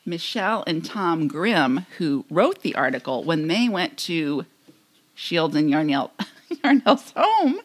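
A woman's voice: low-pitched, big pitch swings, takes it slowly. A middle-aged woman co-hosting a podcast, chatting casually and easily tipping into giggles as she retells things. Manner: giggly, easily breaks into laughter. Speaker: podcast co-host. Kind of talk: casual chat, retelling a magazine article.

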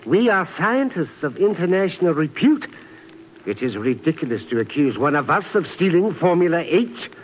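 Animated, pompous indignant professor. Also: male voice, fairly high pitch, moderate pace, poor audio. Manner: pompous, formal, protesting. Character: indignant, self-important male French-speaking scientist, professor. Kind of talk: 1950s radio drama acting. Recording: hissy old radio broadcast.

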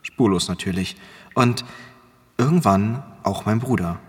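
An actor in a German audio drama playing a grave, guilt-ridden middle-aged man who tells his story in halting, ominous phrases. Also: lowish voice, breathy edge, no room sound. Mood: haunted, dramatic.

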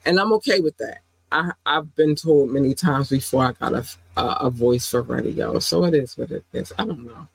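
A woman hosting her own podcast in a loose, rambling chat. She is warm-hearted and affectionate, laughs often, and her voice leaps up into excited squeals. The sound is dry and close, with no room echo. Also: normal speed, gravelly, husky. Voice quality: affectionate, calls listeners "baby", jumps into high squeals when excited. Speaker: podcast host. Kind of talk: casual solo podcast chat with shout-outs.

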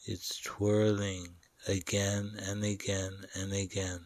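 A man guiding a meditation in short, deliberate phrases with long pauses between them. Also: low-pitched, completely flat delivery, darkish tone, no echo. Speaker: meditation guide. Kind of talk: guided meditation narration.

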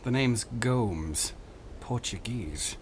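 An actor playing a troubled, reserved gentleman father in a radio drama, speaking formally. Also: mid-range voice, expressive, drawn-out words, a little hiss or hum. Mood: uneasy, polite. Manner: formal, restrained. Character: troubled, reserved well-to-do father, middle-aged or older.

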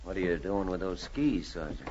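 An actor playing a tough, hot-tempered murderer in a 1940s radio drama, gruff and curt with a North American accent. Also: male voice, deep voice, animated, brisk, a little mellow. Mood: indignant. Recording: muffled, noisy old radio transcription.

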